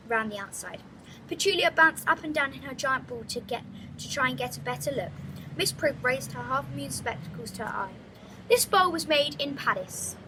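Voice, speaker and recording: A woman reading a children's book aloud as an expressive storytelling narrator. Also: high voice, noisy background.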